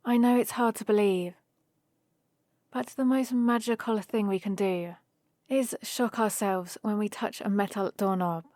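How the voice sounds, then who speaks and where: A woman's voice: medium pitch, steady tone, darkish tone, dry recording, studio quality, lightly acted. A woman acting a playful, fond young hero from another world in an intimate ASMR roleplay, teasing and talking straight to the listener. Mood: explaining, amused. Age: young adult. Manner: teasing, unhurried, intimate one-sided conversation. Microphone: close studio-style microphone, dry.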